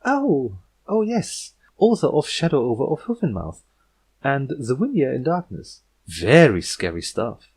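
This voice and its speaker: Man playing a high-pitched, flustered young pony princess. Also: very animated, a little slow, a little mellow, slightly rough, full character voice. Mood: nervously welcoming. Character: young female pony princess, bookish, awkward, easily flustered.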